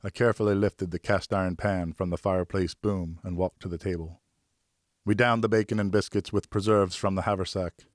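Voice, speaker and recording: Low-voiced man narrating an audiobook. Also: steady tone, brisk, dry recording, clean studio sound. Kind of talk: scripted first-person novel narration.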